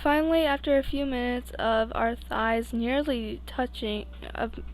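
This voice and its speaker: Young woman reading fanfic out loud, kinda high voice, slow, keeps tripping over words and adding asides. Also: low-quality recording. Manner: halting, stumbling over words. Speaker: amateur podfic reader. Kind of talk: informal fan fiction read-aloud with asides.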